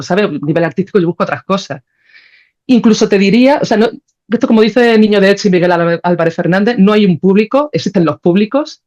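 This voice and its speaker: A woman's voice: medium pitch, darkish tone. Informal Spanish woman, slightly dull recording. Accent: Spain. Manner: informal, thinking aloud, often seeking agreement with tags. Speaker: podcast guest, composer and philosopher. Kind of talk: spontaneous, reflective interview conversation.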